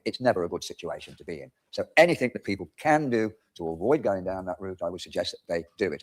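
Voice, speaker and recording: A middle-aged male councillor with a fairly low voice. He speaks quickly in a formal meeting style, addressing the room as an official would.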